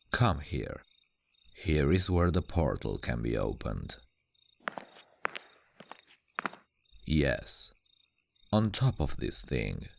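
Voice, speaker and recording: An ancient demon guardian for an ASMR roleplay. His voice is extremely deep and crackles with gravel. He is suave, sardonic and condescending, and his intonation rises and dips theatrically as he teases. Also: full character voice. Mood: wry, dismissive. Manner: slow, deliberate, dramatic, condescending toward humans.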